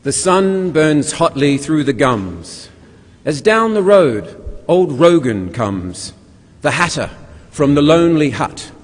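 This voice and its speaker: A man recites poetry in a measured, storytelling way to a live event in a large hall. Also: fairly high pitch. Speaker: guest reader at a carols concert. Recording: echoey large hall with long reverb.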